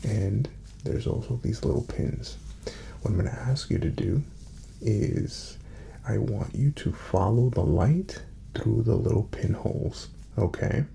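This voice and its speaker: A man with a low, heavily creaky voice speaks softly, often dropping to a breathy whisper. He plays a calm doctor in an ASMR exam, giving gentle, unhurried instructions with a lilting rise and fall, over faint background noise. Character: calm, courteous male doctor examining a patient. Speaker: ASMR roleplayer playing a doctor. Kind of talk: soft-spoken ASMR medical exam roleplay.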